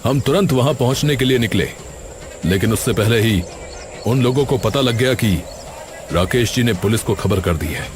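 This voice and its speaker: A man acts as a police inspector in an animated story, sober and sympathetic, with a mid-pitched voice. Clear noise runs behind him. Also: normal speed, lightly acted. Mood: grave, explaining.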